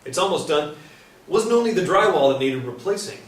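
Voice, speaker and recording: An actor playing a friendly, plain-spoken carpenter in a staged play reading. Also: male voice, a little high, animated, fairly quick, natural voice. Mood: curious, easygoing. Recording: roomy distant stage recording, faint noise.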